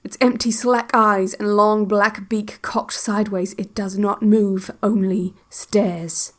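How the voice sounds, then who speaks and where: Woman playing a slow, controlled relaxation-tape guide. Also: medium pitch, steady tone, lightly acted. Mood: hypnotic, eerie. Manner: measured, deliberate, hushed, detached. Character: woman narrator of an institutional relaxation cassette, controlled, quietly ominous. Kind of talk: slow guided visualization, scripted audio drama.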